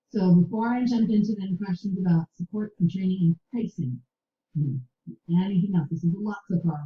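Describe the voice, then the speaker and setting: Woman, low-ish voice, casual sales-presentation tone; echoey room, muffled lo-fi audio. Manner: casual, filler-heavy, with light laughs. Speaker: publisher sales representative. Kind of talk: online product presentation.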